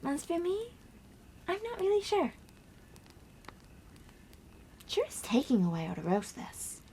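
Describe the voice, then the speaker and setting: A woman playing a gentle young girl on a camping trip in an ASMR roleplay, speaking softly and breathily with hushed, dramatic pauses. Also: extremely high pitch, huge pitch swings, slight background noise, heavily acted. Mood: soft, teasing. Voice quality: soft, breathy whisper. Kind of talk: ASMR roleplay, soft whispered storytelling.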